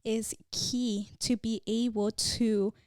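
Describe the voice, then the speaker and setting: A woman's voice: a little high, level tone, takes it slowly, a little mellow, clear, resonant tone, no room sound, studio quality. A woman co-hosting a faith podcast, talking in a reflective, casual way with lots of "you know" and "like". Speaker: podcast co-host.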